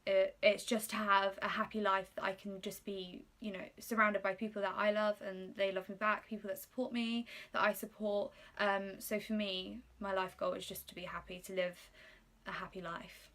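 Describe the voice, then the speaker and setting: Bright-voiced chatty young woman. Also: mid-range voice, little pitch movement, moderate pace. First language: Polish. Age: 20s. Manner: chatty, reads questions aloud and answers with frequent ums. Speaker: YouTuber. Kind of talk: casual get-to-know-me Q&A.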